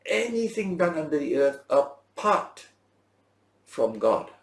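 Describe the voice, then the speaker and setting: A man preaching a Bible lesson as a teacher, in emphatic short phrases with rhetorical questions and a gravelly voice. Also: a little high, sing-song, slow. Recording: roomy, echoing sound.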